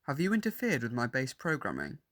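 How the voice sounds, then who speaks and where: A man's voice: mid-range voice, huge pitch swings, a little fast, a bit bright, faint music behind. A man playing a cold, hostile cyborg warrior who despises humanity, speaking in stiff, formal declarations in a fan audio drama. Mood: menacing, stubborn.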